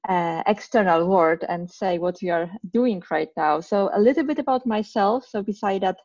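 A woman's voice: medium pitch, moderate pace, darkish tone, dull audio. A woman in her thirties from Poland with a Polish accent, answering podcast questions earnestly with many hesitant fillers. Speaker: podcast guest. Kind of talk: casual interview answers.

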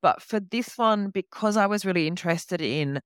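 A woman's voice: mid-range voice, moderate pace, no room sound, studio quality. A woman novelist answering interview questions in a reflective, explanatory, conversational way.

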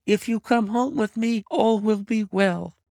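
A man's voice: high voice, steady tone, measured pace, dark tone, dry recording, studio quality, voice pushed up. A man voicing an anxious, secretive wife who pleads desperately and emphatically in an audiobook mystery. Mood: desperate pleading. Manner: imploring, emphatic, breathless entreaty. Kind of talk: dramatized audiobook reading of a classic mystery story.

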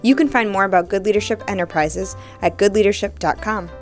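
A woman's voice: mid-range voice, brisk, soft background music. A woman co-hosting a leadership podcast as an executive coach, upbeat and enthusiastic. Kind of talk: podcast chat.

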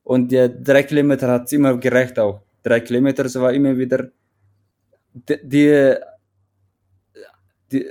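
A man's voice: medium pitch, flat delivery, moderate pace, pauses often, mellow. A man from Eritrea with an Eritrean accent, a runner interviewed in a casual chat, speaking hesitantly with many restarts as he searches for words. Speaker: guest, mountain runner.

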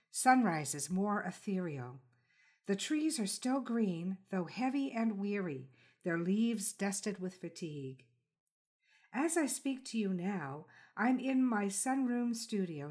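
A woman hosting a podcast, reading a scripted literary introduction and interviewing in a measured, formal, lyrical way. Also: medium pitch. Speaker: podcast host. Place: sunroom home studio.

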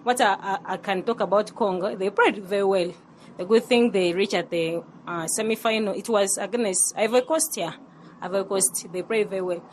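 A woman's voice: mid-range voice, moderate pace, a little hiss or hum, low-quality recording. A woman from Uganda in a street interview, stumbling and repeating words.

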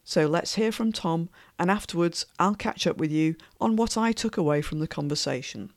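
A woman hosting a podcast, reading a scripted intro with an upbeat, measured delivery, then opening an interview. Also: on the low side, no echo, studio quality. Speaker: podcast host.

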